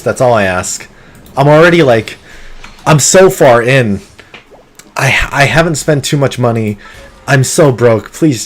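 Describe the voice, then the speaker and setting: A man streaming a game live, talking through it in an excitable, competitive way, with sudden gasps and pleading. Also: mid-range voice, slight background noise. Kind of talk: live gameplay commentary.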